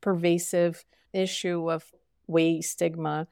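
A middle-aged woman talks through medical issues in an earnest, emphatic way. Her lowish voice has a gravelly fry. The recording is dry and close. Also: level tone, slow pace, a little mellow, no room sound. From Brazil. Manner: earnest and emphatic, frequent fillers. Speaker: physician guest. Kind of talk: conversational interview.

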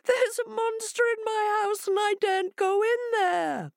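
A woman's voice: extremely high pitch, sing-song, measured pace, gravelly, fairly bright tone, dry recording, studio quality, voice pushed up, full character voice. A woman voicing a timid female hare in a storybook tale, plaintive, wailing and easily frightened. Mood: wailing, distressed.

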